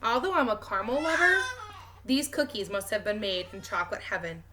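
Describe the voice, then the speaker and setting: A woman who teaches, reading a children's novel aloud with expressive, clearly phrased narration. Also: mid-range voice, brisk, a little hiss or hum.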